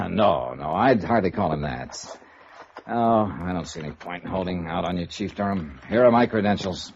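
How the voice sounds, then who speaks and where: An actor playing a wry, probing insurance investigator in a 1950s radio noir drama, with a dry, sardonic manner. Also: male voice, sits low, lively intonation, moderate pace, a little mellow. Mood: matter-of-fact. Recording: muffled, hissy vintage radio recording.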